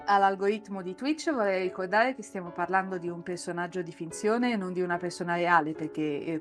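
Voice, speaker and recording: A woman's voice: mid-range voice, a bit bright. An Italian woman hosting a casual live stream, bantering in a joking, playful and exclamatory way. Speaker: podcast and stream host.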